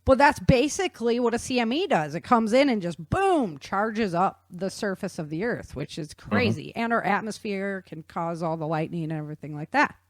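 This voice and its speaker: A woman explaining things in an animated, conversational way with casual slang. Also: mid-range voice, normal speed, few pauses, no echo. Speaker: guest author explaining research.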